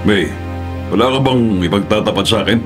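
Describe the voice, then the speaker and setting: An actor playing a suspicious, brooding man in a Filipino radio drama, with a measured, accusing delivery. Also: lowish voice, music in the background, natural voice. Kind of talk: Tagalog radio drama acting.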